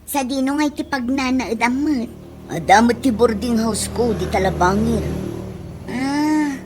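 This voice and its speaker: A woman's voice: a little high, lively intonation, measured pace, music in the background. A woman with an Ilocano accent playing a grateful provincial housewife looking for city work in a radio comedy drama. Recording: clearly noisy radio drama recording.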